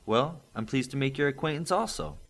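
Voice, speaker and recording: Man voicing an eager boy, lifted pitch. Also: animated, brisk, a bit bright. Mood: proud, polite. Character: eager, proud eleven-year-old boy.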